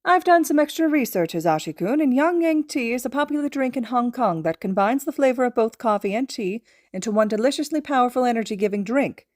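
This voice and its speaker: A small, brainy male creature played by a woman reading a story speaks in a raised, lifted pitch with close, dry sound. The mentor is insistent and precise, laying out each point with emphatic, wordy confidence. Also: expressive, brisk, hardly any pauses, darkish tone, no room sound, natural voice. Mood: eager, insistent.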